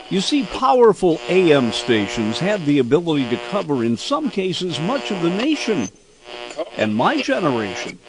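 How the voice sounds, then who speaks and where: An older American man from Indiana narrating a scripted personal reminiscence as host, in a measured storyteller's way. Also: fairly high pitch, noisy background. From Indiana, United States.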